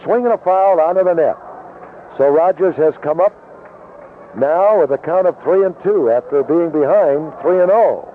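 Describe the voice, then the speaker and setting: American baseball announcer on tinny vintage radio. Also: male voice, fairly high pitch, expressive, normal speed, slight background noise. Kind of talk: 1970s radio baseball commentary.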